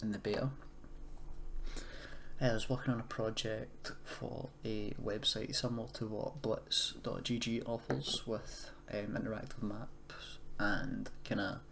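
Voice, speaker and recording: Low-pitched man, casual tutorial, noisy recording. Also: little pitch movement, measured pace, vocal fry. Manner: hesitant, unscripted, explanatory. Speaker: tutorial presenter. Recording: noisy home recording, keyboard typing.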